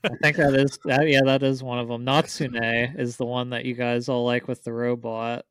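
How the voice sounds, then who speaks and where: A man co-hosts a casual gaming chat with a smooth, clean voice of medium pitch. He speaks conversationally and gives his opinions freely. The recording is dry and close. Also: level tone, moderate pace, fairly bright tone, no echo. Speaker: podcast co-host. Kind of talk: casual chat about video games.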